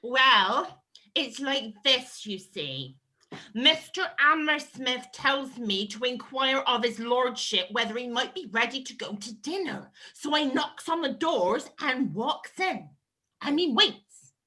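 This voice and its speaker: A woman playing a young, naive, excitable servant maid with rustic speech in a comic murder mystery. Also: high voice, slow, exaggerated voice. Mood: coy, nervous.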